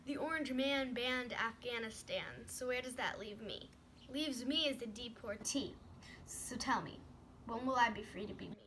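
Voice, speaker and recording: A woman's voice: on the high side, moderate pace, sharp tone. A woman reciting rhymed slam poetry with emphatic, punchy, rhythmic delivery on a noisy amateur recording. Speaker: poet.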